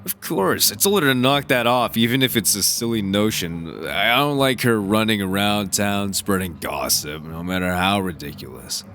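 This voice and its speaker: A man's voice: on the low side, animated, normal speed, hardly any pauses, a bit bright, soft background music. A man playing a jovial, blunt middle-aged insurance salesman and family man in a radio drama, talking in a joking, folksy way. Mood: bemused.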